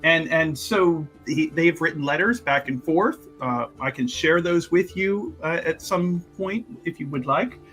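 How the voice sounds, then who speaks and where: A man's voice: fairly high pitch, a little slow, few pauses, fairly bright tone, soft background music. A man playing a tabletop game, casually recapping what his character has been up to, often stopping to search for words and restarting.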